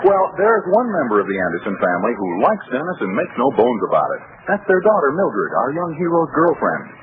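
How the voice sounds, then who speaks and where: A man's voice: mid-range voice, huge pitch swings, fairly quick. A man working as announcer and narrator of a 1940s radio comedy, reading scripted introductions. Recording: tinny, noisy 1940s radio broadcast.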